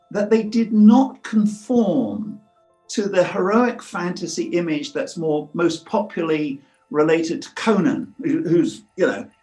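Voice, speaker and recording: A man, a writer, talking reflectively in an interview and voicing his own thoughts as he explains. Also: fairly high pitch. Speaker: comics writer. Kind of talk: interview talk.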